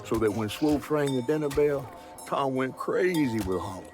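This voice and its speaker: A man playing a folksy Southern storyteller who narrates a dark folktale in a video game. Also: mid-range voice, lively intonation, moderate pace, natural voice. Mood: grim storytelling. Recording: music behind the voice.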